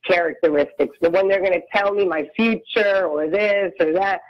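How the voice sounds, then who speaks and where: A woman's voice: on the low side, normal speed, muffled recording. A woman chatting candidly as a podcast guest, frank and full of restarts. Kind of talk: casual chat.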